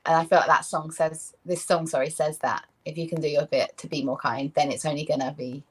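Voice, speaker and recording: A woman with a slightly low voice for a woman. She chats casually but earnestly as a singer, reflecting and rewording her points as she speaks. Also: fairly even intonation, fairly quick, husky. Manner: earnest, rephrasing as she goes. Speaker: singer in a group chat.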